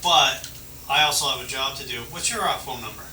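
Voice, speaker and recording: A man's voice: mid-range voice, fairly quick, pushed and bright. Male cop explaining stuff casually, kinda conciliatory, roomy sound, noisy background. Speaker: police officer. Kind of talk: casual roadside explanation to a detained person. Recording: noisy, roomy, distant mic.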